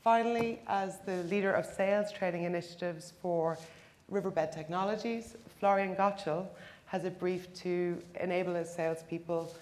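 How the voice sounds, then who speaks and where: Panel moderator, woman, lowish voice, polished and upbeat on a conference stage. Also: steady tone, moderate pace, darkish tone.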